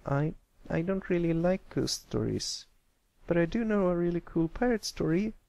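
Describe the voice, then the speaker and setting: A man's voice: sits high, expressive, moderate pace, gravelly, dark tone, dry recording, pitched above the performer's own voice. A man voicing a bashful, meek young colt in a storybook reading, stammering and mumbling modestly.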